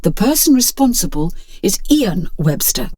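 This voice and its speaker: A woman voicing a formal, precise male supercomputer in an audiobook, measured and matter-of-fact. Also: medium pitch, sing-song, warm tone, husky, no room sound.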